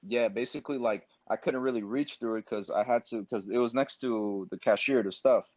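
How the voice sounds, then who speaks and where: A young man from Pakistan, a podcast guest, telling a story in an animated, streetwise way and calling people bro. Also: medium pitch, fairly quick, no room sound. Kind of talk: casual storytelling. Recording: dull dry lo-fi sound.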